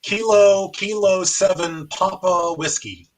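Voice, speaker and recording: A man's voice: sits high, fairly even intonation, takes it slowly, very noisy. An American man hosting a casual amateur radio demonstration and chatting as the operator.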